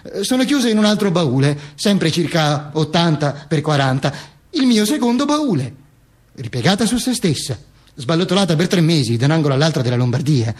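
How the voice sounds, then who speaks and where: A dead dictator narrating his own afterlife in a radio play. The voice sits high for a man. The delivery is theatrical and ironic, breaking into halting, chatty asides and leaning on repeated phrases. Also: sing-song. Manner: wry, halting, chatty asides with pointed repetition. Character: dead dictator's corpse recounting its own posthumous travels, wry. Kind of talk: theatrical first-person monologue on radio.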